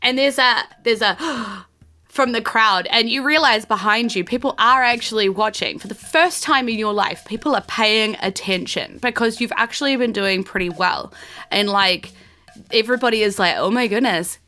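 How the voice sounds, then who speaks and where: A woman's voice: fairly high pitch, moderate pace, sharp tone, soft background music. A woman improvising as game master, conversational and encouraging. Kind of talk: improvised tabletop game narration.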